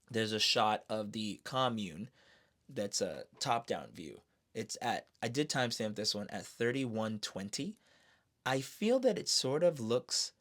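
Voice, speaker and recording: A man giving casual, excited fan commentary in a slangy, expressive way, throwing in exclamations. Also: medium pitch. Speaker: streamer reviewing an animated series.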